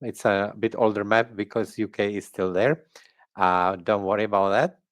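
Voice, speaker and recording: A man's voice: on the low side, fairly even intonation, moderate pace, no echo. A middle-aged man from the Czech Republic with a Czech accent, speaking English as a second language and giving an academic presentation carefully and hesitantly, with many fillers. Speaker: guest lecturer.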